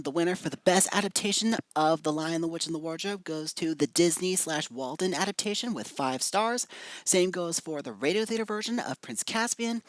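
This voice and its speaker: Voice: mid-range voice, fairly bright tone, no echo. A reviewer giving casual, opinionated commentary, ranking things and repeating words for emphasis. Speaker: amateur film and audio drama reviewer.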